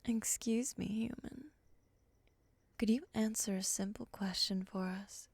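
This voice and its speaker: A woman playing a calm, condescending, predatory moth monster woman in an ASMR roleplay, speaking softly and often in a whisper. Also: medium pitch, measured pace, gravelly, slightly rough, no echo. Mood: dry, probing.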